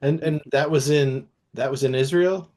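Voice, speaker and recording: A man's voice: on the high side, steady tone, normal speed, a little mellow. A man hosting a podcast interview who asks short questions and adds brief interjections. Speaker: podcast host.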